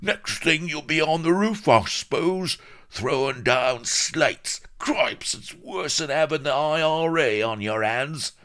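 A man's voice: on the high side, slow, a bit bright, voice pushed up. A man voicing a villainous, indignant storybook jailer who scolds and swears coarsely. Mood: outraged.

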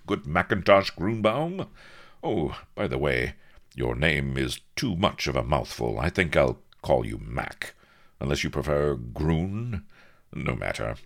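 A man's voice: very deep voice, gravelly, full character voice. A man voicing a dashing, eager pirate captain from a storybook in florid, hearty, archaic speech.

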